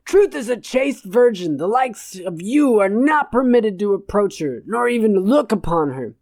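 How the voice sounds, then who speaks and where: A woman's voice: mid-range voice, very animated, normal speed, a little mellow, no echo. Woman voicing a pompous, irate old male philosopher. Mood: furious, scornful. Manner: pompous, verbose, scornful.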